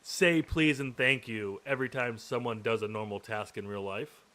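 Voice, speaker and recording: A man hosting a podcast after-show, chatting loosely with plenty of fillers. His voice has a bright, forward edge at a middle pitch. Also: moderate pace. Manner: relaxed, rambling, many fillers. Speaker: podcast host. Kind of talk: casual after-show chat.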